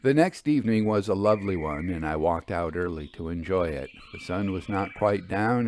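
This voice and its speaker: A man narrating classic literature as an audiobook, in a measured, formal and theatrical way. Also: low voice, a little mellow, a little hiss or hum.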